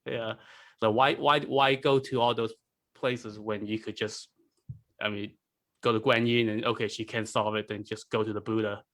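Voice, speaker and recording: A man's voice: fairly low pitch, level tone, moderate pace, fairly bright tone. A man chatting as a podcast guest, hesitant and peppering his talk with small filler phrases. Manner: hesitant, frequent "like" and "you know". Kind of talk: casual discussion.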